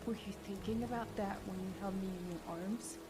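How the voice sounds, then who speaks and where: A woman's voice: medium pitch, fairly even intonation, fairly quick, loud music behind the voice, lightly acted. A woman playing a grieving, bitter mother confronting a killer in a video game drama. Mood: bitter, accusing.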